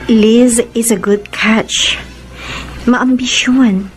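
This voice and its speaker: Radio drama, young Filipino escort: high register, slow delivery, tender, hesitant, guarded. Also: female voice, steady tone, slight background noise, poor audio. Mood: gently guarded. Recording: music under the voice.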